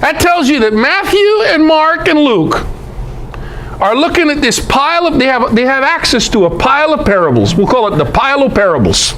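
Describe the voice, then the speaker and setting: A man's voice: very high voice, very animated, moderate pace, a bit bright, slight background noise, rough recording. A man giving a conversational Bible lecture to a group, emphatic, posing rhetorical questions.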